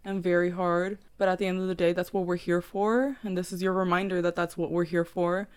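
Smooth-voiced young woman, casual podcast host. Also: fairly low pitch, fairly even intonation, brisk. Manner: earnest, encouraging, swears casually. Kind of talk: casual chat.

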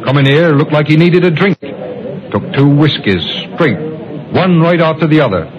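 An actor playing a blunt, plain-spoken tavern landlord with a clipped, colloquial manner in an old radio drama. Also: male voice, fairly high pitch, lively intonation, moderate pace, lightly acted. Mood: sure, matter-of-fact. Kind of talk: old-time radio character acting. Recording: muffled old radio recording, crowd murmur.

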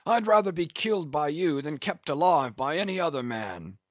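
A man's voice: on the high side, expressive, normal speed, no echo, muffled recording, voice pushed up. A man voicing a boisterous, jolly one-armed English whaling captain in an audiobook, hearty and teasing with many exclamations.

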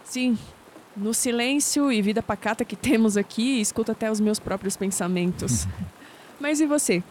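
A woman's voice: on the high side, normal speed, darkish tone, lightly acted. A woman acting a sceptical young Brazilian woman, noisy backdrop. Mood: teasing, curious. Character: sceptical young woman researcher, guarded, teasing, quick to retort. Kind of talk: audio drama dialogue.

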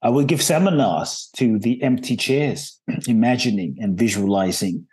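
A man telling his life story as a podcast guest, earnest and reflective, often pausing on "and so" and "uh". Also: mid-range voice, a little mellow, breathy edge. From Ghana.

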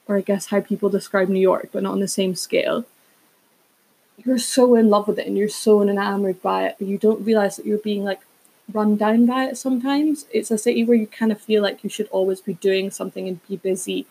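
A woman's voice: mid-range voice, mellow, husky, rough recording. A woman, chatting casually as a solo podcast host in a rambling way with self-aware asides. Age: 20s.

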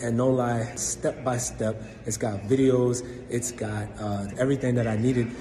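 A man's voice: mid-range voice, level tone, unhurried, mellow, a little hiss or hum. A man giving a casual, storytelling customer testimonial.

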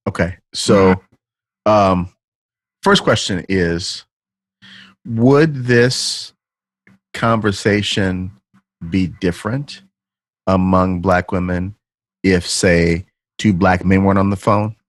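Man, low husky voice, dry sound, measured questions, video call. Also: dry recording. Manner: measured questions, then playful banter. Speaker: discussion participant asking questions. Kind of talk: group video-call discussion.

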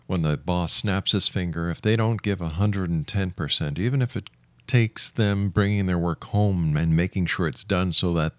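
Deep-voiced man hosting a radio interview. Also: fairly bright tone, no room sound. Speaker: radio show host, interviewer.

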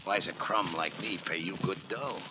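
A man's voice: low voice, normal speed, close to an everyday voice. An actor playing a smug, slangy crook in a 1940s radio drama, talking like an uneducated tough guy. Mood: needling, menacing. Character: smug, slangy male crook scheming a frame-up. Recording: noisy old radio drama broadcast.

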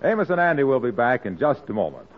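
A man's voice: medium pitch, sing-song, fast, over loud music. A man working as a 1940s radio announcer, selling with an emphatic, scripted delivery. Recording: tinny, narrow-band old radio recording.

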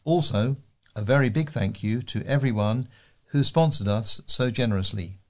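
A man with a middling pitch reads correspondence from a local paper. He sounds like a volunteer newsreader working through written letters for listeners. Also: moderate pace. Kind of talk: reading readers' letters aloud for a talking newspaper.

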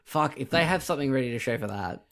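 A young man co-hosting a gaming podcast, excitable and swearing freely, his voice going croaky late at night. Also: medium pitch, fast. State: voice getting croaky. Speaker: podcast co-host. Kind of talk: casual gaming chat.

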